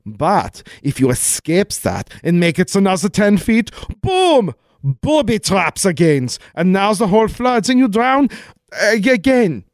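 High-pitched gleeful German villain. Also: male voice, very animated, measured pace, no echo, studio quality, exaggerated voice. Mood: gloating, chatty. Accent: put-on German. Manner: theatrical, hesitant, over-explaining gloating. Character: gleeful, fussy German villain man, scheming and long-winded. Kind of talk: comic 1940s-style radio play acting.

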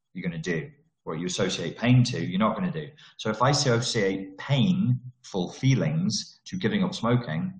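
A middle-aged man coaching in a casual monologue, blunt and full of slang and jokes. His voice sits on the low side. The room is echoey. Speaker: self-development coach.

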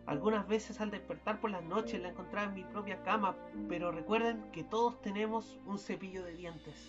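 A man's voice: high voice, moderate pace, dull audio, music in the background, close to an everyday voice. A young man, a student actor, playing a fussy, weary husband who grows sinister in an amateur radio drama. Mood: calm, detached. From Chile. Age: young adult.

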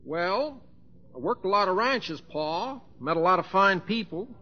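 An actor playing an easygoing, restless young cowboy in an old radio drama. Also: male voice, high voice, animated, measured pace. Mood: sheepish, folksy. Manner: drawling, plain-spoken, folksy. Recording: dull old radio recording.

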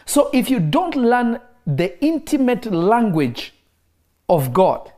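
A man who grew up in Kenya, preaching in a relaxed interview and driving his points home by repeating key phrases. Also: extremely high pitch, slow. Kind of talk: conversational preaching.

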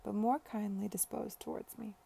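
A woman voicing a shy, tender young heroine in a period romance audiobook, quiet and breathy. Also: medium pitch, little pitch movement, moderate pace, a little hiss or hum.

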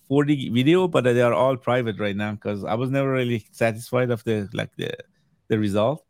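A man in his thirties whose first language is Farsi, a game collector chatting as a podcast guest over a video call, halting and full of fillers and restarts. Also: mid-range voice, vocal fry, no echo, clean studio sound. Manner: hesitant, many fillers and restarts. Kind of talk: casual chat.

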